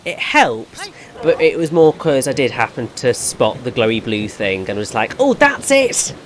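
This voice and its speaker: A man with a naturally dry, deadpan voice, giving directions and chatting over a game. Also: mid-range voice, unhurried. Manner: guiding, precise, rambling over-explainer. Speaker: co-commentator. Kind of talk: casual let's-play chat.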